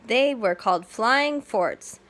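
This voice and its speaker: A welcoming air show guide from a children's storybook, read by a woman, with a very animated delivery. The guide explains things simply and clearly in short sentences. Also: a little high, slow pace, pitched above the performer's own voice. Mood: informative.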